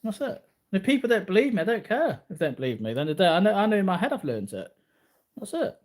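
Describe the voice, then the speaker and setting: A man's voice: high voice, big pitch swings, very fast. A man in his early forties talking casually to viewers in a rambling, repetitive, boastful way. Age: early 40s. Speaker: martial arts vlogger.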